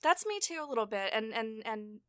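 Woman, high bright voice, very dry close sound; animated, self-deprecating podcast host in relaxed conversation, quick to laugh. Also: no room sound. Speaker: podcast host and audio drama creator. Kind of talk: casual conversational interview.

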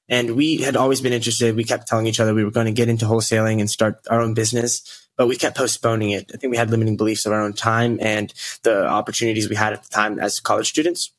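A man's voice: mid-range voice, a bit bright. A man of twenty from the United States, a podcast guest, telling his story in an earnest way. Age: 20s. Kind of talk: casual interview talk.

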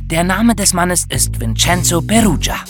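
A man's voice: high-pitched, animated, moderate pace, music in the background. A man co-hosting a podcast, moving between scripted narration and conversational talk. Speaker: podcast co-host.

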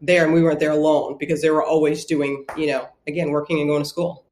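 A woman from Ghana answers interview questions thoughtfully, often pausing with an um. Her voice has a roomy, echoey sound, as if recorded remotely in a bare room. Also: low-pitched, flat delivery, darkish tone. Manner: thoughtful, many ums and restarts. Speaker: guest, sports psychologist.

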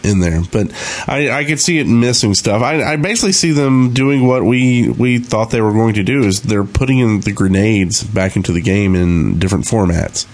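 A man's voice: lowish voice, moderate pace, hardly any pauses. A man on a podcast panel, chatting casually and offering his opinions. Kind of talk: casual chat.

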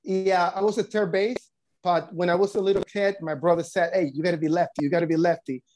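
A man from the Dominican Republic with a Dominican Spanish accent, speaking earnest, halting English as a guest coach. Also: sits high, steady tone, a little mellow.

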